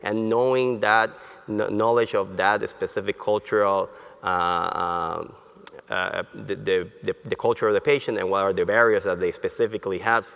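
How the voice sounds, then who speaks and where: Deep monotone guy giving a talk, lots of uhs, big echoey hall. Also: gravelly. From born in Colombia, grew up in Puerto Rico. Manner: halting, many fillers and restarts. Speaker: neurologist, conference speaker. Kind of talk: conference lecture. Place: conference hall. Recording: long hall reverb.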